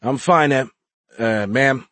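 A man's voice: medium pitch, expressive, measured pace, slightly rough, no room sound. A man voicing a casual, joking young male soldier in an audiobook. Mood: flustered. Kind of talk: audiobook character voice.

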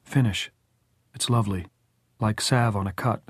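A man voicing a gentle, anxious, soft-spoken girl in an audiobook. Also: fairly low pitch, normal speed, husky, dry recording, clean studio sound. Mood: tender.

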